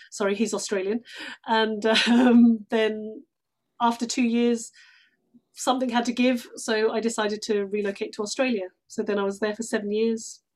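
A woman's voice: a little high, darkish tone. A middle-aged woman with a London English accent, telling stories casually as an interview guest. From London, England. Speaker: interview guest, actress and theatre workshop director. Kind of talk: casual conversational storytelling.

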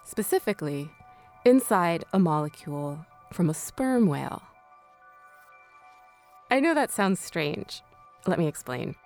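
A woman's voice: on the low side, sing-song, measured pace, long pauses, a little mellow, soft background music. A woman hosting a radio documentary, reading scripted narration. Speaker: host.

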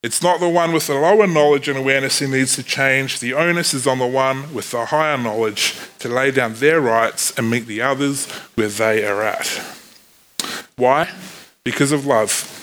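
Bright-voiced Kiwi preacher. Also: male voice, medium pitch, moderate pace, poor audio. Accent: New Zealand. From New Zealand. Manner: earnest, self-deprecating asides. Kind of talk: sermon.

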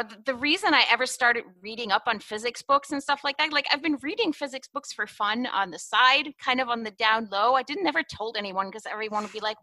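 Chatty bright-voiced woman, dry sound. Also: on the high side, dry recording. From Germany. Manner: chatty, rambling, laughs often, many fillers. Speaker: guest. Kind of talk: casual conversational interview.

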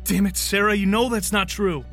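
A man's voice: high-pitched, steady tone, moderate pace, music in the background. A man playing a wary, defiant fugitive in handcuffs in an audio drama, speaking with cynical urgency. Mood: urgent, frustrated.

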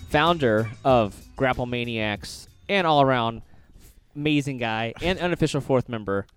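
A man's voice: medium pitch, lively intonation, moderate pace, fairly bright tone, smooth, soft background music. A man co-hosting a casual podcast, talking in an upbeat, hyping way and reading sponsor spots. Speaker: podcast co-host. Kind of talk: casual chat and ad reads.

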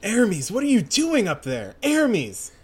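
A man's voice: lively intonation, brisk. A man voicing a tough young woman fighter who talks urgently. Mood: alarmed. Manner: urgent, pitched-up voice.

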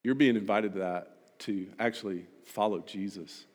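A man delivering a sermon to a congregation as a preacher, emphatic and rhetorical, building points through repetition and pointed pauses. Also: fairly low pitch, a little mellow, slightly rough. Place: large reverberant hall. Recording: long hall reverb.

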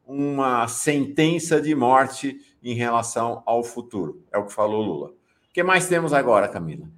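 A man's voice: medium pitch, expressive, normal speed, a bit bright. A middle-aged or older man, hosting and giving blunt, emphatic live political commentary. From São Paulo, Brazil. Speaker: news show host and commentator.

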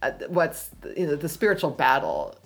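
A woman's voice: low-pitched, huge pitch swings, normal speed, creaky. A woman co-hosting a casual faith discussion, eagerly jumping in to build on the ideas being talked through. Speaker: podcast co-host.

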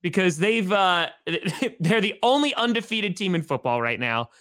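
A young man with a high voice hosts a sports podcast, chatting loosely and excitedly with plenty of "like" and "uh." He sounds casual and amped. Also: moderate pace. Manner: excited, loose, lots of fillers. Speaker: podcast host.

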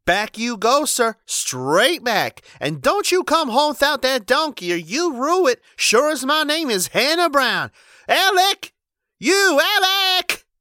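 Shrill old wife, scolding and shouting, voice leaping all over, dry sound. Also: male voice, animated, measured pace, no echo, exaggerated voice. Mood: commanding.

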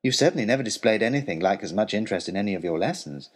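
Guy playing a huffy lovesick tutor, lowish slightly rough voice, wordy and wry, comic storytelling. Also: animated, racing. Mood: exasperated. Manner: pompous, wordy, aggrieved, theatrical. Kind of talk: scripted comic narration as a letter read aloud.

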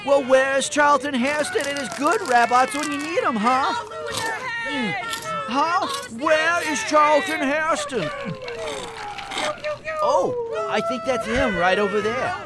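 An actor playing a smug, cowardly rabbit celebrity in a comedic radio play, with a scolding, affected manner. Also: male voice, very high voice, lively intonation, takes it slowly, heavy background noise, full character voice. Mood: panicked. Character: rich, smug, cowardly male rabbit celebrity making announcement.

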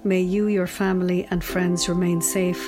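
Low, even-voiced woman over music. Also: moderate pace. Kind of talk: prepared message of support. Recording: clear instrumental music behind the voice.

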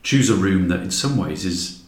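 A man's voice: low voice, expressive, normal speed. A man talking as an interview guest, explaining a creative project conversationally with plain examples. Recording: echoey room.

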